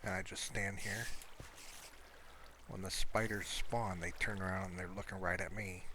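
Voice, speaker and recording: A man giving casual, rambling gameplay commentary, explaining things in an unhurried way as he plays. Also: sits low, flat delivery, background noise. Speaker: gamer showing a Minecraft build.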